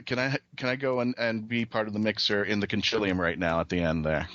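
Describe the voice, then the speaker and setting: A man's voice: on the low side, steady tone, fast talker, fairly bright tone, dry recording, lo-fi sound. A man chatting casually and asking questions as a podcast co-host. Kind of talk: casual chat.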